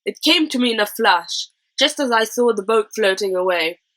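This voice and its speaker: A woman's voice: on the high side, animated, moderate pace, a bit bright, no room sound. A woman voicing an eager, silver-tongued male pirate chief for a storybook, with wheedling salesman patter. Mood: boastful, eager.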